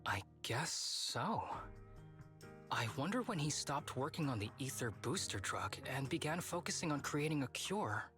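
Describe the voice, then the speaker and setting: Soft, wistful young game fighter, music behind. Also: male voice, fairly high pitch, very animated, normal speed. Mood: quiet, pensive. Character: reflective, wistful young man, chronically ill fighter. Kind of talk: dramatic video game voice acting.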